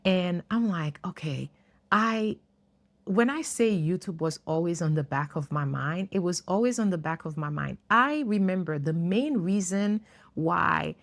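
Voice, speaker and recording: A woman in her fifties tells her own story to camera in a low, earnest voice. English is not her first language, and she talks reflectively, laughing lightly now and then. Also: lively intonation, measured pace, creaky. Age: 50s. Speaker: YouTuber.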